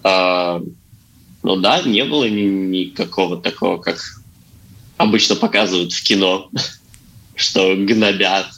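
A man's voice: low voice, measured pace, long pauses, fairly bright tone, rough recording. A young man speaking as an interview guest in casual conversation. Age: young adult.

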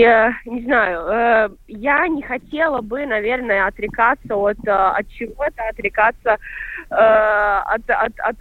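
A young woman from Latvia in a panel discussion, answering thoughtfully with many small pauses. Her voice comes through a narrow, phone-like line and sounds dry, with no room around it. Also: on the high side, dry recording. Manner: thoughtful, hesitant, many fillers. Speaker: guest, filmmaker.

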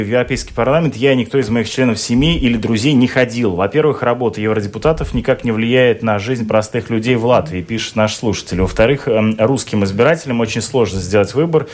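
A man hosting a radio discussion show, reading out listener messages with a hesitant, filler-heavy delivery. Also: medium pitch. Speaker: radio talk show host.

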